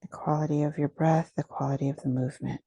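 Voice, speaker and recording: A woman leading a guided meditation, giving gentle, soothing instructions with unhurried phrasing and pauses. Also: deep voice, steady tone, vocal fry, breathy edge, dry recording.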